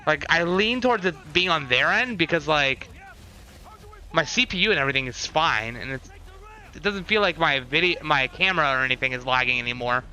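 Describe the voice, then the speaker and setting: A man's voice: fairly high pitch, expressive, brisk, bright, forceful tone, rough recording. A man chatting casually to viewers as a livestreamer, giving commentary with sarcastic, unimpressed asides.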